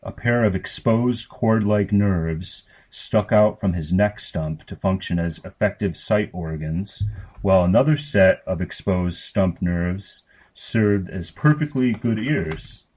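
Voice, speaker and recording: A man reading fiction aloud as scripted narration, in a matter-of-fact, deadpan manner. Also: sits low, slow. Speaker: story reader.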